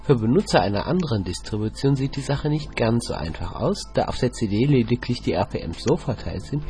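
Dude reading a software review off a script, voice goes up and down a lot, quiet music behind. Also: male voice, medium pitch, animated, a little fast. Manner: reads script, addresses listeners informally. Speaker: podcast contributor.

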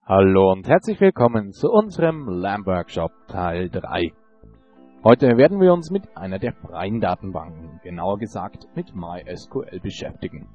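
A man with a fairly low voice leads a scripted tech tutorial and explains things step by step. His pitch moves around a lot. Light music plays in the background. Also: a little mellow. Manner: instructive, lecturing tone. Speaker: workshop presenter.

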